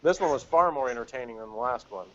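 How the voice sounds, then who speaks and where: A man's voice: mid-range voice, expressive, fast talker, fairly bright tone. A man co-hosting a review show in casual, blunt, teasing chat over a lo-fi online call. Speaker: co-host of a TV episode review show. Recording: dull, lo-fi online call audio.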